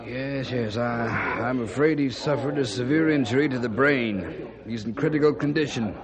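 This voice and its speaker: A grave, fretful doctor, acted by a man, with a medium-pitched voice. He speaks formally and a little hesitantly, in old radio drama style. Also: moderate pace, a crowd in the background, natural voice.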